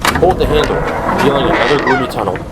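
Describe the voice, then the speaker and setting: A man's voice: medium pitch, expressive, rapid-fire. Man narrating audio drama, mid-range voice, scripted delivery, noisy atmospheric effects behind. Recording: clear background noise and ambience. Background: ambient sound effects.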